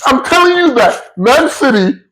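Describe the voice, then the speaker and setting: A man co-hosting a football podcast, talking in an animated, casual way and often cutting across his co-host. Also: very high voice, moderate pace, noisy background.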